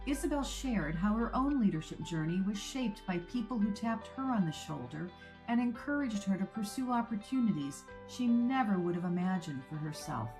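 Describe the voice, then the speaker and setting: A woman hosting a podcast, reading a polished introduction and then interviewing her guest in a measured way. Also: mid-range voice, animated, darkish tone, faint music behind, rough recording. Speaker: podcast host.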